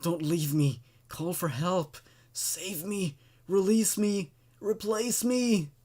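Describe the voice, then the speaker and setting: Man voicing an eerie, quietly pleading trapped mannequin. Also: high voice, slow, pitched above the performer's own voice. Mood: desperate pleading. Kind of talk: horror story reading.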